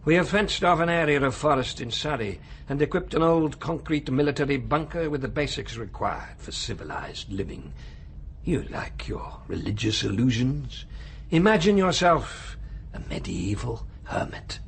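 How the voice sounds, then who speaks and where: Radio drama villain: powerful, urbane, condescending lecturer, mid-pitched and highly animated, slight background noise. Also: male voice, moderate pace, creaky. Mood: quietly menacing. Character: male world ruler, urbane, coolly cynical, menacing authority.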